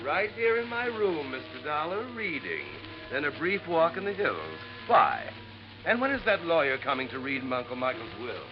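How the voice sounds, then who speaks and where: An actor playing an evasive young nephew in a 1950s radio drama, smooth and offhand. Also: male voice, fairly high pitch, big pitch swings, moderate pace, music in the background. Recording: muffled old radio broadcast.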